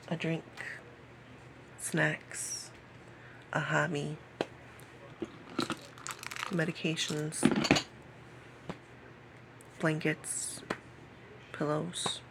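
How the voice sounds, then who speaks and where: A woman in her late thirties talking personally to camera in a halting way, repeating phrases and sometimes dropping to a whisper. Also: low-pitched, background noise. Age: late 30s. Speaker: vlogger.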